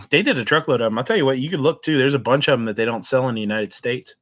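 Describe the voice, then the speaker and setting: A man co-hosting a live food review stream, blunt and joking, with salty language, often clearing his throat. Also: medium pitch, expressive, fast talker, fairly bright tone. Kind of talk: casual live-stream chat. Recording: dull lo-fi mic.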